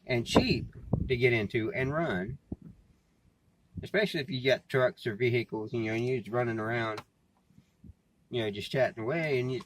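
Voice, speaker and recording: A man's voice: mid-range voice, background noise. A man talking casually and plainly into a cell phone, in a folksy, slangy, rambling vlog style. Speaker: amateur vlogger and CB radio hobbyist, former truck driver.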